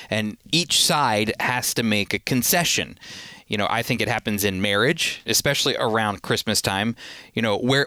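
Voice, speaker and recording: Earnest, bright-voiced male radio Bible teacher. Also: lowish voice, no room sound. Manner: earnest conversational preaching with fillers.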